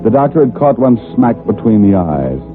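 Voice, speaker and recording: An actor playing a tough, wisecracking private detective in old-time radio style, with a sardonic manner. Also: male voice, fairly low pitch, big pitch swings, moderate pace. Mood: wry narration. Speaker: private detective character and narrator. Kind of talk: 1940s-50s radio detective acting. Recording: tinny old radio recording with music.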